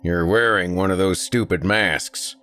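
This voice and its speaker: A man voicing a stubborn, loud middle-aged country father who grumbles and blusters. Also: low-pitched, measured pace, faint music behind, voice pushed up. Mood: teasing, grumbling.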